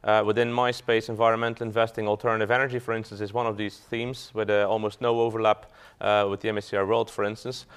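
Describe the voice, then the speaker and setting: A man's voice: fairly low pitch, little pitch movement, quick pace, few pauses, clear, resonant tone. A man from Belgium, a fund manager giving a business talk to an audience, hesitant with frequent fillers and restarts.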